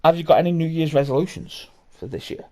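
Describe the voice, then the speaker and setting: A man's voice: fairly high pitch, fast talker, darkish tone. Swearing man in his thirties. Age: 30s. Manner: swearing, rambling, full of restarts. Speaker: podcast host. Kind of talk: casual chat.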